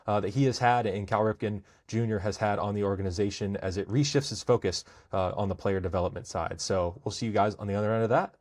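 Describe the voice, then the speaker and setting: A man's voice: mid-range voice, a little mellow. An American man hosting a podcast, talking casually about sports in a conversational way. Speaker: podcast host.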